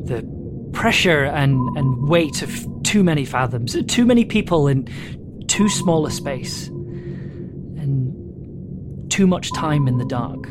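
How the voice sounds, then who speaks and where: A performer playing a weary, haunted submariner in a halting, reflective audio drama monologue. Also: mid-range voice, animated. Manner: halting, reflective, deliberate pauses. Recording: music under the voice. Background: music.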